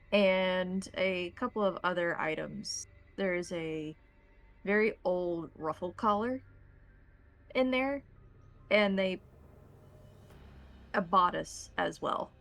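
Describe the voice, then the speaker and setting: Creaky-voiced woman narrating a tabletop game. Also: fairly low pitch. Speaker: game master.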